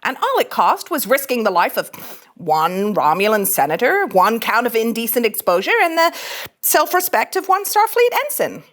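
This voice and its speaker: A woman's voice: a little high, big pitch swings, normal speed, a bit bright. A woman playing a cocky, persuasive young female starship ensign in a theatrical, sardonic sci-fi script reading. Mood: smug, persuasive. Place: studio.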